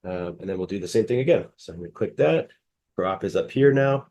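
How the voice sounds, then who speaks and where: Male presenter, nasal from a cold, low warm voice, loose and halting delivery. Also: animated, highs cut off. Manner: casual, hesitant, many fillers and restarts. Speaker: software demonstrator. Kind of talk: screen-share software walkthrough.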